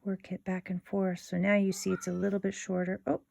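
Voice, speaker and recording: A woman hosting a casual step-by-step craft tutorial in an informal, unhurried way, thinking aloud as she works. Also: mid-range voice, completely flat delivery, creaky, warm tone, no room sound, clean studio sound.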